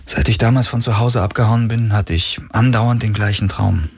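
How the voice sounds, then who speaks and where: A weary young runaway woman with a sly streak, voiced by a male audiobook narrator. Her delivery is subdued and wry, with a husky grain. She belongs to a science fiction story. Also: fairly low pitch, steady tone, normal speed, a little mellow, voice pushed up. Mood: sleepy, uneasy. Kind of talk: audiobook character dialogue.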